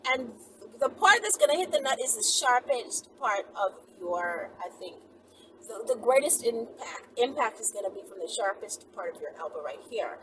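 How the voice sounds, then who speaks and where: A woman in her thirties giving casual, emphatic instructions to camera, often checking in with a quick "okay?" or "right?". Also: fairly high pitch. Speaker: vlogger giving advice. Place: inside a moving car. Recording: loud car and road noise, distant mic.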